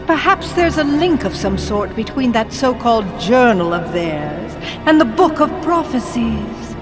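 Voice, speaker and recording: A woman's voice: a little high, very animated, measured pace. A woman voicing a cold, scheming evil sorceress in a game cutscene, grand and menacing in manner. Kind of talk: animated game cutscene acting. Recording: ominous music behind.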